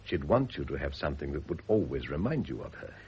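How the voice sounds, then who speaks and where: An actor playing an urbane widower, courteous, dry and evasive, in classic radio drama style. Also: male voice, a bass voice, sing-song, fast, a little mellow. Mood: gentle, coaxing. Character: urbane, evasive widower, dryly deflecting a woman's advances. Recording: dull old radio recording, faint hiss.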